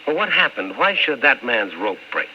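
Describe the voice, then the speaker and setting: A man's voice: mid-range voice, sing-song, normal speed, a little hiss or hum, lightly acted. A male actor playing a skeptical, dogged newspaperman in an old-time radio drama. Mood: probing. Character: skeptical, dogged newspaperman narrating his investigation. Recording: muffled old radio recording.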